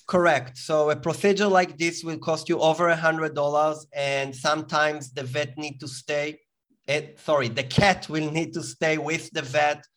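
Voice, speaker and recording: Israeli-accented man, fairly high voice. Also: level tone, fairly bright tone. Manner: explanatory, halting with fillers and restarts. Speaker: guest, company founder. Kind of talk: interview answers.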